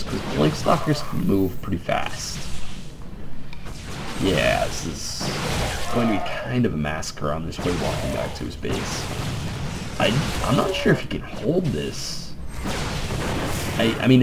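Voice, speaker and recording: A man's voice: fairly low pitch, gravelly. Stuffed-up young man casting a game. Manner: mellow, quiet, joking asides. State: stuffed-up nose, lightheaded. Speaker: game caster. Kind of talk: laid-back game commentary.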